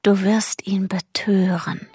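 A woman's voice: medium pitch, level tone, slow pace, darkish tone, faint music behind, close to an everyday voice. An actress playing an earnest, self-disciplining teenage girl with romantic ambitions, speaking as an inner voice in a literary radio play. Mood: dreamy, coaxing.

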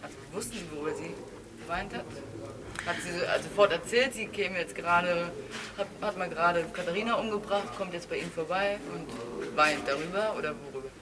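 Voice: mid-range voice, a little fast, close to an everyday voice. An actor playing a probing interviewer in a naturalistic audio drama, asking hesitant, broken and repetitive questions. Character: probing, hesitant investigator questioning murder witnesses. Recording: clear background noise, location ambience.

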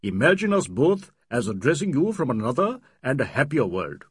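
A man's voice: medium pitch, sing-song, moderate pace, slightly rough, dry recording, studio quality. A man voicing an older male host, patient and wry, speaking up over a friend. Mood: dry.

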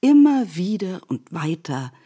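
A woman narrating a literary radio play in measured, deliberate phrases, as a reflective storyteller. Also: lowish voice, very animated, dark tone, slightly rough, full character voice.

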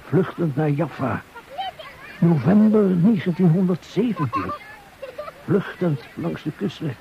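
An actor playing a weary war veteran in a poetic radio play, speaking in deliberate fragments. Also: male voice, a little high, gravelly, heavily acted. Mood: haunted. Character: weary male war veteran recalling desert, sea and flight. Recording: noisy, dull radio play recording.

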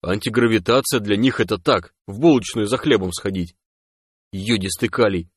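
A man voicing a gruff, bossy, hot-tempered male stalker in an audiobook story, barking and cursing in folksy phrases. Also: lowish voice, fairly quick, dry recording, clean studio sound. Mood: amazed. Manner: barking, colourful mock curses.

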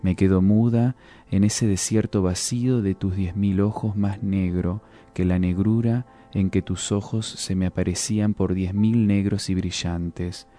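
A man giving a solemn, deliberate dramatic reading of poetic prose, as a guest reader. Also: low-pitched, steady tone, mellow. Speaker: guest reader of literary texts. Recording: music under the voice. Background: music.

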